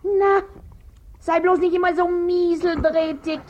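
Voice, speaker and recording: A woman's voice: very high-pitched, fairly even intonation, unhurried, lo-fi sound. An actress in a 1950s German radio play voicing a crafty, domineering middle-aged washerwoman with a Berlin accent, bossy and wheedling in colloquial speech. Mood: coaxing. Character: crafty, domineering working-class washerwoman, wife and mother.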